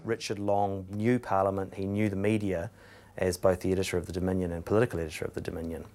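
A man's voice: low voice, level tone, fast, darkish tone. A man in his thirties, a political journalist, explaining events frankly in a documentary interview in his office. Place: cluttered office, indoors.

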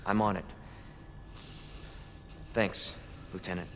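Young rookie policeman, earnest, concerned, slightly low voice, game cutscene, background noise. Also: fairly even intonation, slow pace, fairly bright tone. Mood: relieved. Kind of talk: video game cutscene acting.

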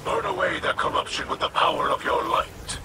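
A man playing a solemn mystical commander in a video game, speaking formally and gravely. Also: a little fast, pushed and bright, slight background noise. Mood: grave, urging.

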